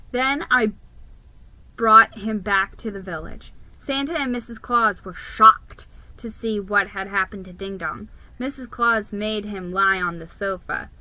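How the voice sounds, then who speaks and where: A woman giving a dramatic, expressive reading of a children's story, stumbling over the odd word. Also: medium pitch, low-quality recording. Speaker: storybook reader.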